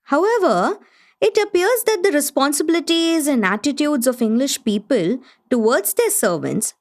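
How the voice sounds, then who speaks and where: An actress playing a learned Indian woman who hosts a literary gathering, narrating formally and with poise in a radio play. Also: on the high side. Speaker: host.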